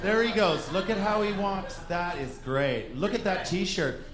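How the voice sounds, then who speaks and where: A man jokes his way through a live comedy podcast, his voice sitting high for a man. He is recorded in a reverberant room. A live audience is heard around him. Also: measured pace, a crowd in the background. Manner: joking, playful, riffs off his partner. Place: stage before a live audience.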